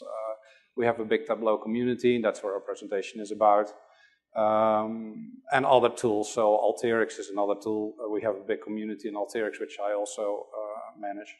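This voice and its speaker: A middle-aged man from the Netherlands with a Dutch accent, giving a conference talk and hesitating often with fillers. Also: lowish voice. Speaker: conference presenter.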